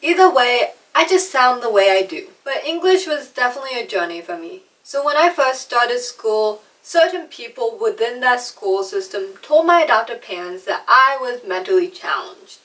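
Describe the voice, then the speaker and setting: A woman's voice: fairly high pitch, lively intonation, moderate pace, few pauses, fairly bright tone, distant mic, poor audio. Chatty young woman with a slight lisp. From China, raised in America. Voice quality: slight lisp, trouble with R sounds. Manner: chatty, self-deprecating, mimes words out. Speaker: vlogger. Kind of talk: personal storytelling vlog.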